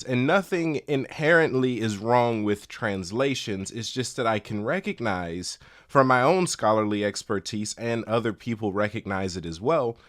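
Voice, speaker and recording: A man giving a solo scholarly talk, explaining things step by step in a measured way. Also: medium pitch, a bit bright. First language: English. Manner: measured, explanatory, spells out letters. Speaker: teacher.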